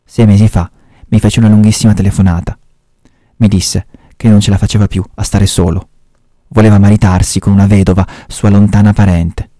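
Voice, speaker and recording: A man reading aloud the lines of an old spinster, calm and resolute, with dialect words. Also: lowish voice, fairly quick, dry recording, studio quality, lightly acted.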